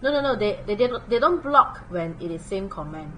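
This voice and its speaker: A woman's voice: mid-range voice, brisk, a little hiss or hum, rough recording. A woman from Singapore with a Singaporean English accent, teaching a math lesson on a livestream in a chatty manner with local particles. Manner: chatty, animated, uses local particles like lah and leh. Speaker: math tutor.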